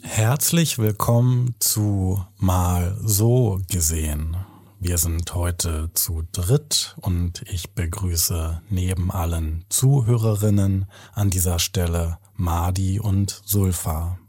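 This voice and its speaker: A man hosting a podcast, introducing and questioning a guest in a measured way. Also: sits low, fairly even intonation, warm tone, no echo. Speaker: podcast host. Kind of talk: measured interview moderation.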